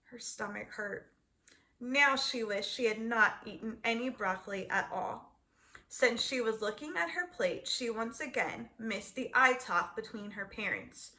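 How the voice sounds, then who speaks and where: A woman storyteller reads a children's book aloud. Her voice is fairly high and very bright, and she places emphasis deliberately and expressively.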